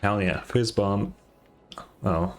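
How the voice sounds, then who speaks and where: A man's voice: fairly low pitch, takes it slowly. A man who is not a native English speaker, giving casual commentary on a game, musing aloud and swearing. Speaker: gaming streamer. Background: game music.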